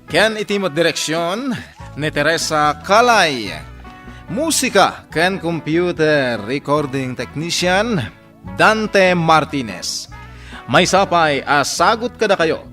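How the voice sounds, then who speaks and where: Ilocano guy announcer signing off a radio drama, highish punchy voice, music under it. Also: animated, takes it slowly, pushed and bright. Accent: Filipino, Ilocano. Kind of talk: emphatic scripted radio drama outro.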